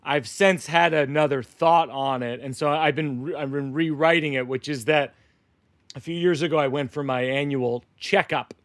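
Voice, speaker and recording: A man hosting a casual podcast chat with another comedian, telling jokes in a dry, deliberate way. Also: a little high, fairly even intonation, no echo.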